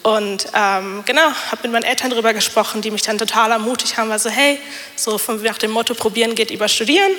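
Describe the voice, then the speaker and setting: A young woman with a bit of a cold speaks into a handheld mic in a church hall. Her voice is fairly high and very bright, and she talks in a chatty way, full of fillers. A long reverb trails behind her words. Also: steady tone, quick pace. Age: young adult. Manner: chatty, many fillers, self-deprecating laughs. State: has a cold. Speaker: church member giving a testimony. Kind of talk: personal testimony in church. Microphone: handheld microphone.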